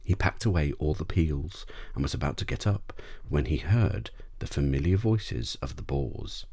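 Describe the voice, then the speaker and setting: Man, extremely deep voice, animated intonation, ASMR bedtime story narration. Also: normal speed. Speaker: audiobook narrator.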